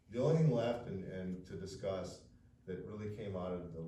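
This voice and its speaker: Low voiced guy pitching plans, lots of ums, heard from across a boomy room. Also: dark tone. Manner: rambling, many fillers and restarts. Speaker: developer's representative. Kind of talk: presenting plans at a public meeting. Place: township meeting room. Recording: echoey room, distant mic, faint hiss.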